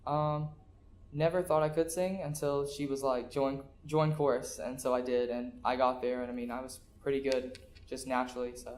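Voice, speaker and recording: A man's voice: medium pitch, level tone, normal speed, darkish tone. An eighteen-year-old American man from North Carolina, casually telling his story in an interview. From North Carolina, USA. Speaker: interviewee, choir singer. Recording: noisy room ambience.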